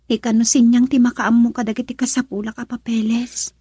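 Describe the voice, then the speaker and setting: High-pitched, pleading young daughter. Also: female voice, level tone, brisk, dark tone, breathy edge, no room sound, clean studio sound. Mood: worried. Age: young adult. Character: emotional, pleading college-age daughter in love. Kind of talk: Ilocano radio drama acting.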